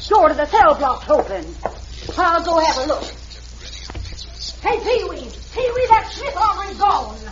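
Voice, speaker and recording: A woman's voice: very high voice, big pitch swings, unhurried, pauses often, heavily acted. An actress playing a determined frontier lady sheriff with folksy Western talk, in 1940s radio acting, on a dull old radio recording with hiss. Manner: folksy Western drawl words.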